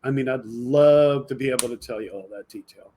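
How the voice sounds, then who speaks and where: A man hosting a video podcast, delivering an impassioned, emphatic political monologue straight to his viewers, swinging between forceful outbursts and lower asides. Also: a little fast, a little hiss or hum, low-quality recording. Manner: emphatic, fired up, addressing viewers directly. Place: indoor home studio with green screen.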